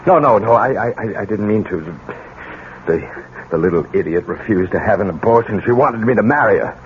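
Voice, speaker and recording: A man's voice: on the low side, lively intonation, a little fast, natural voice. An actor playing a guilty, agitated middle-aged professor and father in a 1970s radio drama. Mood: panicked, pleading. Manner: agitated, stammering, protesting. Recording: muffled, noisy old radio recording.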